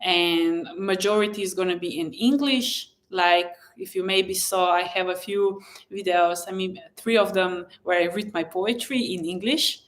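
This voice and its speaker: A woman who is a native Slovenian speaker, hosting a casual live chat in a gentle, encouraging and effusive way, often pausing mid-thought. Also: on the low side. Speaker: live stream host and blogger.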